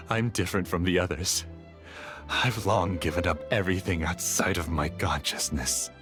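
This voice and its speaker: A grieving, hopeless scholar from a video game, with a very deep voice. He sounds weary, bitter and resigned. Faint game music runs underneath. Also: a little slow. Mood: despairing. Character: despairing grown male scholar, grief-stricken and hopeless.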